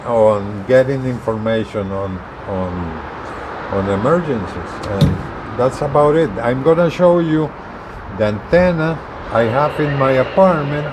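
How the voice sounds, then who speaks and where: A man giving a casual product demonstration as a video presenter, talking haltingly and repeating words as he explains. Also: medium pitch, expressive, noisy background. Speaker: hobbyist video presenter, truck driver.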